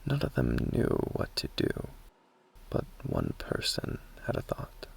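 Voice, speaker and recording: A man playing an earnest, caring magic academy teacher in a soft, whispery ASMR roleplay, speaking in a measured, gently formal way. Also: slight background noise, heavily acted. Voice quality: whispery, breathy, mostly unvoiced. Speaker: roleplay voice performer. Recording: low-quality amateur recording.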